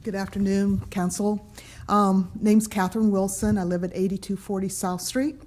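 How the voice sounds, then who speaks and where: A woman from Nebraska giving a measured statement at a council podium microphone, with some fillers. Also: mid-range voice, little pitch movement, darkish tone, a little hiss or hum. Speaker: law professor, commission applicant. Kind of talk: public statement. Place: council chamber.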